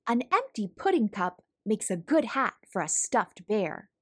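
A woman reading a children's picture book aloud as a storytelling host, in an expressive manner for young listeners. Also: medium pitch, unhurried. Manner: animated, clearly paced storytelling for young children.

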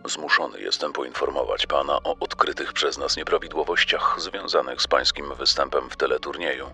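An actor playing a stern, formal television executive in a true-crime dramatization. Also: male voice, extremely low pitch, moderate pace, vocal fry, pushed and bright, soft background music, full character voice. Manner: formal, official. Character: stern male TV executive announcing an accusation.

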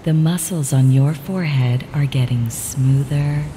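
A woman reading a guided relaxation script in calm, measured, repetitive instructions, with ocean waves behind her. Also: rumbling low. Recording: ocean surf noise with added reverb.

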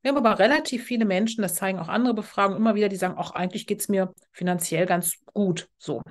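Woman, middle-aged, medium pitch, expert panel talk, explanatory, self-correcting with asides, video call. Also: fast. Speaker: researcher, panel speaker. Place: indoor room at home, on a video call.

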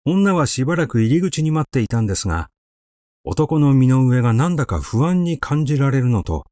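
A man reading literary fiction aloud as scripted narration, in a measured, dramatic storytelling manner. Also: mid-range voice, a little mellow, no room sound, clean studio sound. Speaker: audiobook reader. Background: music.

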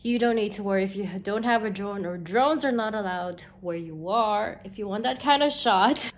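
A woman's voice: medium pitch, moderate pace. A woman, chatting casually to camera as a vlogger from an armchair in a small room, laughing between sentences. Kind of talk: casual vlog chat to camera.

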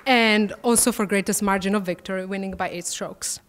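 A young woman in her twenties with an Italian accent, a student athlete giving a prepared speech at a podium, warm and gently self-deprecating. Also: mid-range voice, steady tone, brisk, some chatter behind. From Italy. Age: 20s. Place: large banquet hall, at a podium. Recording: reverberant banquet hall.

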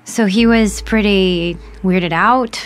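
A young woman playing an excitable, starstruck podcaster, narrating an audio drama in a chatty, informal way. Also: mid-range voice, slow pace, close to an everyday voice. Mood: curious. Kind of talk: casual first-person audio drama narration. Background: music.

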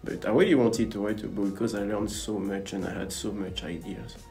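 A young man with a slightly low voice reflects on his year in a relaxed, thoughtful way. He sits in a university lobby facing the camera. Quiet music runs softly behind him. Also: moderate pace. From Gabon. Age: young adult. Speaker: university student. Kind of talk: interview to camera. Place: indoor university building lobby. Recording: faint music under the voice.

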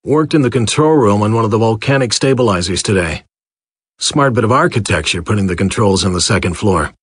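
A man's voice: on the low side, expressive, fairly quick, husky, no echo. Plain-spoken guy working at a secret base, game audio log, dry sound. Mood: matter-of-fact. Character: matter-of-fact male worker at a secret facility. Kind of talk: recorded journal entries in a video game.

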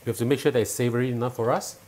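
A middle-aged man hosting a cooking show, explaining each step calmly and patiently in a home kitchen. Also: medium pitch, racing, a little hiss or hum. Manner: calm, patient and instructive, enthusiastic when tasting.

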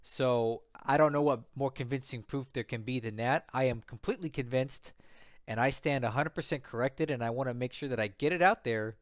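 A man's voice: mid-range voice, fairly quick, no room sound, studio quality. A man with a slightly stuffy voice from a cold, hosting a casual screen-share tutorial and explaining as he goes, often checking in with "right?" and "okay?". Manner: conversational, repeats "right?" and "okay?", thinks aloud. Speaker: strategy game tutorial host.

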